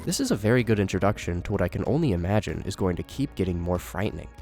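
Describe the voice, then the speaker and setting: Low-voiced man narrating over music. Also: animated, fast, darkish tone. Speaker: horror series commentator. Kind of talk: scripted narration and commentary.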